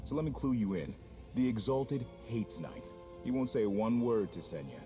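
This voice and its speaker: An actor playing a confident, easygoing ex-officer rogue in a video game, casual and folksy. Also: male voice, mid-range voice, very animated, moderate pace, music in the background, close to an everyday voice. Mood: wary. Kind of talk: video game cutscene acting.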